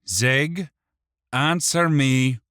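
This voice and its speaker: Man voicing an urgent, higher-pitched small young hero. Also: big pitch swings, unhurried, dry recording, clean studio sound. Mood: demanding. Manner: urgent, demanding. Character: small young male hero, anxious, exasperated, bossy. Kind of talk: audiobook character voice.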